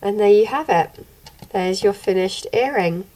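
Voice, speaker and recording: Young woman, slightly croaky, gentle steady instructions, home tutorial. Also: mid-range voice, unhurried, low-quality recording. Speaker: craft channel host. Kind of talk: step-by-step tutorial narration. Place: home room, indoors.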